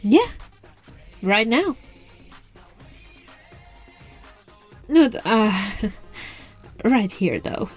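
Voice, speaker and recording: A woman's voice: on the high side, sing-song, extremely slow, husky, full character voice. A woman voicing an alluring, playful witch in an ASMR roleplay, teasing and coaxing the listener, recorded on a phone. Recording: phone recording with music and night crickets.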